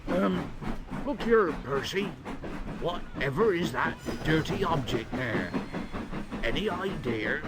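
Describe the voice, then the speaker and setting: A man's voice: on the high side, animated, slow pace, very noisy. A man voicing Toby, a small old-fashioned male tram engine who teases in a mild, drawling way. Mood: sly. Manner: mild, drawling, sly teasing.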